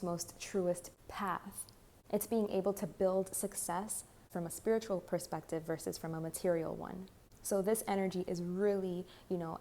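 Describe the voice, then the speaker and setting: A young woman, a bit hoarse, giving a rambling spiritual tarot talk full of "like" and "you know". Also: on the low side, warm tone. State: slightly hoarse. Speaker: tarot reader. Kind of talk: channeled spiritual monologue.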